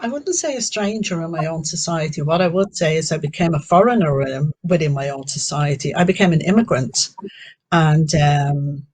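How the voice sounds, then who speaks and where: A middle-aged woman with a strong Dublin accent talks earnestly as a panel guest. She pauses often between thoughts. Her voice sounds dry and close. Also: low voice, a little mellow, breathy edge, dry recording. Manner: earnest, personal, with frequent pauses and "um". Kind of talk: live community discussion.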